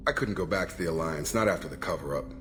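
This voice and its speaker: A man's voice: sits low, fast talker, a little hiss or hum, close to an everyday voice. A man playing a serious, principled ex-military operative in a video game, speaking matter-of-factly. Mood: bitter, candid. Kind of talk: video game dialogue acting.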